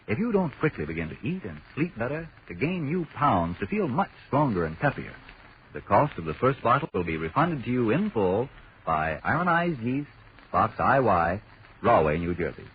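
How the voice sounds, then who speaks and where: A man's voice: mid-range voice, sing-song, normal speed. An American man announcing on 1940s radio with an emphatic, salesmanlike delivery. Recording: dull, noisy 1940s radio recording.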